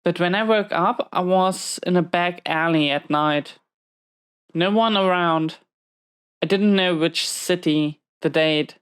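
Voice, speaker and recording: A low, smooth-voiced female villain behind bars, acted by a woman, speaking slowly in an intimate audio roleplay. She is wounded and weary, confiding in halting, reflective phrases. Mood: pained recollection. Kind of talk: slow intimate audio roleplay monologue to a listener.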